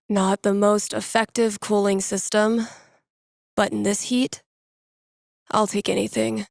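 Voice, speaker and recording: A woman's voice: medium pitch, creaky, no echo. A woman playing a wry young record store worker and nerdy musician in a video game, talking casually to herself with dry sarcasm. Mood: wry, weary. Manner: casual, dryly sarcastic, with sighs and playful asides. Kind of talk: video game character thinking aloud.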